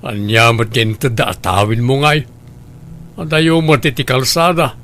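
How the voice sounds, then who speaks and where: A man's voice: mid-range voice, fairly even intonation, a little slow, a bit bright. A man acting a scheming, grasping husband in an Ilocano radio drama, goading and argumentative.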